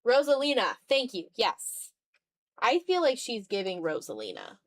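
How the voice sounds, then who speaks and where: A woman's voice: fairly high pitch, huge pitch swings, moderate pace. A woman livestreamer chatting casually while drawing, thinking aloud in fragments with a self-deprecating manner. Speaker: art livestreamer.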